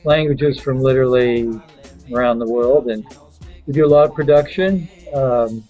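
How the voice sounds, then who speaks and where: A man who owns a recording studio, answering interview questions in a measured way with frequent fillers. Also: medium pitch, mellow, soft background music. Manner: measured, listing details with fillers.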